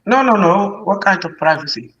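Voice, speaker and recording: A woman's voice: mid-range voice, expressive, normal speed, a bit bright, muffled recording. A woman with a Dutch accent, a native Dutch speaker, chatting casually as a guest and laughing between short replies. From Netherlands. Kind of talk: casual chat.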